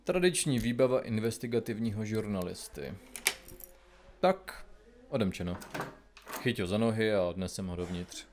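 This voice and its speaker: A man playing an impatient, grumpy investigative journalist in an audio comedy drama, with a gruff, curt, colloquial manner. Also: lowish voice, expressive, pauses often, background noise, lightly acted. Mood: matter-of-fact.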